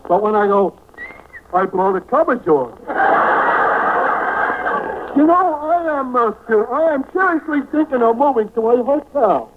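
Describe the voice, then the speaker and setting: Dim-bulb barfly, very high voice, halting and weary, tinny 1940s radio comedy. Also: male voice, animated. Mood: dopey, weary. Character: slow-witted, tired tavern regular, a dim-bulb man. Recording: scratchy, narrow-band 1940s radio recording.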